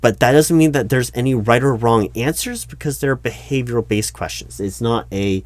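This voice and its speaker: A man playing an earnest, slightly flustered recruiting manager in an interview roleplay, speaking in wordy, halting corporate jargon. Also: mid-range voice, no room sound, lightly acted. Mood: businesslike, earnest. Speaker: roleplay performer. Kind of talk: one-sided interview roleplay, soft-edged office talk.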